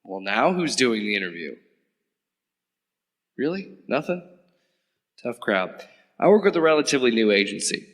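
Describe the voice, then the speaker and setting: A man's voice: medium pitch, very animated, normal speed, long pauses, fairly bright tone, in a large hall. A man playing a sarcastic young agent. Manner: sarcastic, flustered. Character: wisecracking young male government agent. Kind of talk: audio drama acting.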